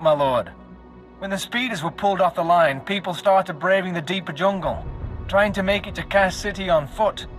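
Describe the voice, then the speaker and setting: An actor playing a deferential guard soldier in a video game, with a clipped, casual but respectful manner. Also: male voice, sits high, natural voice. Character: deferential, informal male guard-post soldier. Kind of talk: video game cutscene acting.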